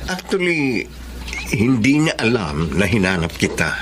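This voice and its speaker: A man playing a gentle, lively-voiced loyal friend. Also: on the low side, a little slow, background noise. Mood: reassuring. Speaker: supportive friend. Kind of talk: Tagalog radio drama acting.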